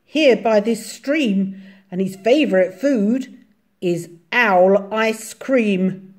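A woman's voice: medium pitch, very slow, darkish tone, pitched above the performer's own voice. A woman voicing a small, cunning, brave storybook mouse who speaks with bold emphasis. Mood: breezy, boasting.

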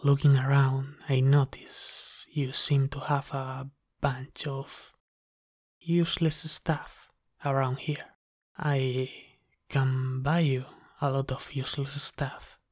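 A man's voice: mid-range voice, flat delivery, slow, a little mellow, no room sound, studio quality. A man playing a possessive, dominant vampire captor in an ASMR roleplay, speaking in a menacing, condescending half-whisper. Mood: coaxing, possessive. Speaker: ASMR voice actor.

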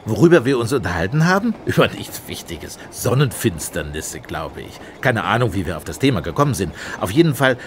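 Casting: blunt, sardonic Scotland Yard man, low-pitched, radio play, faint vehicle rumble in background. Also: big pitch swings, fairly quick, few pauses. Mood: sceptical, firm. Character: grumbling, sceptical male Scotland Yard police inspector. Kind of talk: German radio play dialogue. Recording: train rumble behind the voice.

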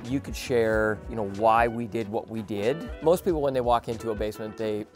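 A man's voice: on the low side, brisk. A man hosts a casual walkthrough on a building site, chatting in a self-deprecating, joking way. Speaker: host and builder. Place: outdoor residential construction site under a raised house. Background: faint music.

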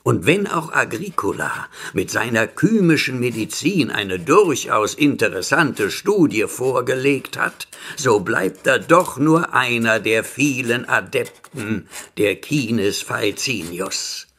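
A male actor playing a sinister, obsessive, ageless alchemist in a horror radio play, pompous and theatrical, with a husky voice. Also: mid-range voice, sing-song, fairly bright tone, heavily acted. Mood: grandiose. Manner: slow, pompous, theatrical declaiming. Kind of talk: horror audio drama acting.

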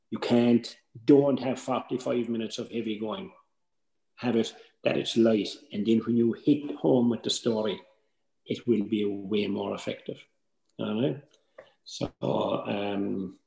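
A man's voice: mid-range voice, gravelly, dark tone. An older man with an Irish accent gives informal, advisory workshop tuition, often checking in with short tags, on a video call. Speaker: workshop tutor. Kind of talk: informal instructional talk. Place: indoors at home on a video call. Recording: roomy echoing video call.

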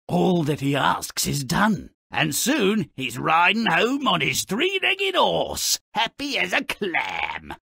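Raspy nasty lil gnome storyteller for a cartoon game, sarcastic n morbid, swoops wildly all over the place. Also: male voice, high voice, wildly swooping pitch, takes it slowly, few pauses, sharp tone, no echo, studio quality, full character voice. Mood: mock storytelling. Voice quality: gravelly, raspy. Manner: theatrical, sarcastic, mocking storyteller. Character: sardonic, gleefully morbid gnome-like fairy-tale troublemaker. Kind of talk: scripted cartoon game narration.

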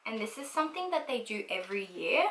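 A woman's voice: fairly high pitch, very animated, fast, a bit bright, reverberant. A woman in her twenties, from Australia, chatting casually about herself while doing her makeup, full of likes and ums. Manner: chatty, lots of likes and ums, laughs easily; talks while applying makeup. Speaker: beauty vlogger. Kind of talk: casual get-ready-with-me chat. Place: small indoor room at home.

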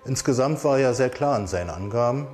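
A man's voice: mid-range voice, expressive, a little fast, a little mellow, soft background music. A man speaking as a police investigator, giving a sober statement in formal, official wording.

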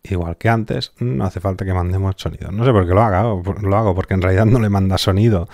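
A man giving an informal software tutorial, offhand and thinking aloud as he works, close on the mic. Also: low voice, fast talker, vocal fry, dry recording. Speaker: online audio software instructor.

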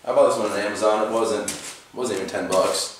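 A relaxed man with a fairly low voice rambles through a hands-on tool demo in his garage. His speech is full of fillers and self-corrections, picked up by the camera mic. The concrete room adds a hard echo, with faint noise behind him. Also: a little fast. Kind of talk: casual how-to demonstration. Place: home garage with concrete floor.

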